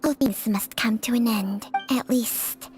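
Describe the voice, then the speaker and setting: A woman plays an ancient snake spirit with a fairly high voice. She sounds aloof, formal and coolly philosophical, in game-drama style over faint music. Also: unhurried, close to an everyday voice. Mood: detached. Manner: formal, detached.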